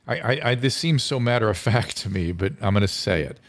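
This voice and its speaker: A man's voice: fairly low pitch, lively intonation, normal speed. A middle-aged man, a physician hosting a live call-in show, talking earnestly and emphatically with frequent restarts. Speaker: physician talk show host.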